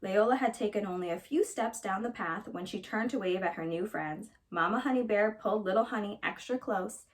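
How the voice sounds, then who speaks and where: A woman storyteller reading a children's fairytale aloud with expressive narration. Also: mid-range voice, fairly quick, hardly any pauses, poor audio.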